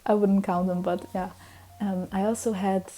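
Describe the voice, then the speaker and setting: A woman's voice: mid-range voice, flat delivery, moderate pace, mellow. A young woman in her twenties, a native Hungarian speaker, chatting casually on her vlog, rambling with lots of fillers and restarts. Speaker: vlogger. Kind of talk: casual chat.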